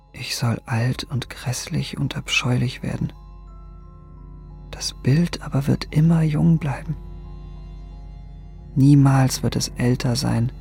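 Slow, gravelly, brooding young man. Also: mid-range voice, a little mellow. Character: sorrowful young man dreading age and ugliness. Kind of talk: German radio play acting. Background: music.

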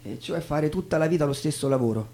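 Downbeat self-pitying young man, played by a stage actor, mellow dark voice, sardonic, theatrical dialogue. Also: on the high side, quick pace, close to an everyday voice. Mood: resigned, reflective. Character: dejected, self-pitying young male loser, bitterly resigned.

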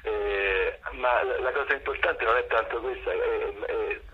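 An older man, a stage actor, reflecting in a radio interview in a halting, searching way, repeating words, with a husky voice. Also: medium pitch. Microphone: telephone. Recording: rough, harsh telephone line.

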